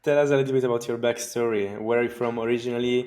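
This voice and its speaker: A man co-hosting a podcast, calmly asking the guest questions in casual chat. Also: medium pitch, racing, crowd noise. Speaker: podcast co-host.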